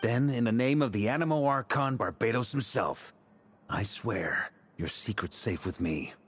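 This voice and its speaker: An actor playing a jovial, shrewd knight commander in a video game, breezy, teasing and expressive. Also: male voice, mid-range voice, normal speed, natural voice. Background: game music.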